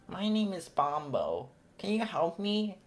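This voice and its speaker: A man's voice: high-pitched, huge pitch swings, measured pace, pitched above the performer's own voice, heavily acted. A man doing a whiny baby elephant. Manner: whiny, pleading.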